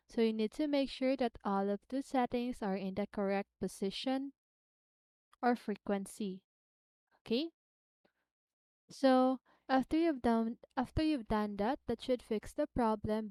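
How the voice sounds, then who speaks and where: A woman's voice: fairly high pitch, mellow, clean tone, dry recording. A woman narrating a casual how-to tutorial, explaining things simply step by step and repeating phrases as she goes. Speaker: tutorial host.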